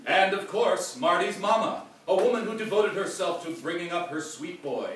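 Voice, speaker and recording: A man's voice: sits high, expressive, moderate pace, fairly bright tone, slight background noise. A man narrating a live comedy radio play in a mock-dramatic scripted style. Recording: echoey live room, distant mic, music behind. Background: music.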